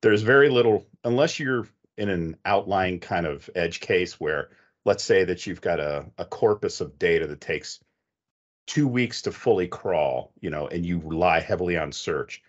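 A middle-aged man with a very deep voice explains things methodically in a conversational panel style. He sounds like an expert talking through a headset on a video call, with slightly muffled, lo-fi audio. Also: expressive, normal speed. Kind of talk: conversational technical advice.

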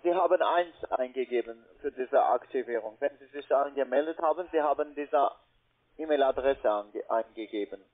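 A man with a foreign accent, a non-native speaker working as a call center agent, asking halting, insistent questions over the phone. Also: medium pitch. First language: not German. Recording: tinny narrow phone line.

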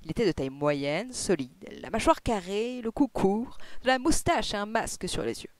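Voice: medium pitch, expressive, normal speed, no room sound, close to an everyday voice. A reader voicing a male police inspector from an audiobook detective story, formal, earnest and emphatic. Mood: earnest, eager. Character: solemn, eager police inspector, a man, chatty and official.

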